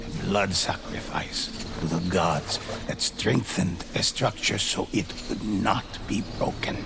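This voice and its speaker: Deep gravelly male game narrator. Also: slow pace, noisy background. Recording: narration over loud music.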